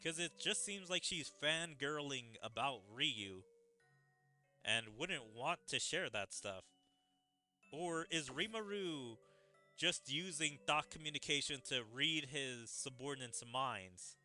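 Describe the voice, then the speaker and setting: A man's voice: medium pitch, expressive, slow, sharp tone. A man streaming a game, casually thinking aloud about its story. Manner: musing, thinking aloud in questions. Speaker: gaming streamer. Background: mallet-percussion game music.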